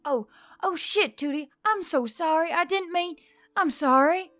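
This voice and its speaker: A woman's voice: very high voice, unhurried, pushed and bright, dry recording, soft background music, pitched above the performer's own voice, heavily acted. Woman playing a tense, haunted human diver. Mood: apologetic. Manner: terse, hissing and growling under stress.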